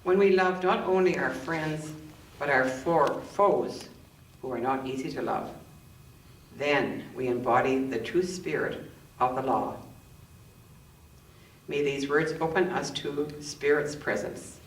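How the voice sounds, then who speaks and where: Female church reader, measured delivery of scripture, recorded from a distance in a reverberant room. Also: sits low. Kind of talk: scripture reading with scripted introduction. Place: indoors in a church, roomy acoustic. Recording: roomy, distant microphone, faint noise.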